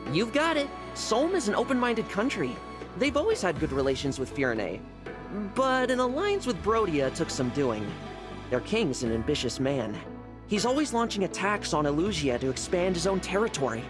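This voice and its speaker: A man's voice: high-pitched, very animated, normal speed, music in the background. A man playing a friendly, kindhearted young prince in a video game, chatty, polite and upbeat in manner. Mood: cheerful, friendly. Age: young adult. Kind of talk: scripted video game voice acting.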